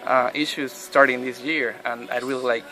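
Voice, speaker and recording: Hesitant man from Puerto Rico, flat delivery. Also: mid-range voice, moderate pace, faint music behind, poor audio. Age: 30s. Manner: hesitant, many restarts and fillers. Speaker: interviewee. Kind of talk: personal testimony interview.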